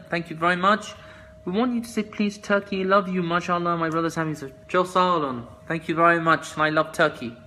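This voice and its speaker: A man answering viewers' questions in a casual live chat, soft-spoken and hesitant, with many fillers and restarts. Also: a little high, a little hiss or hum, low-quality recording. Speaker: musician answering fans in a live stream.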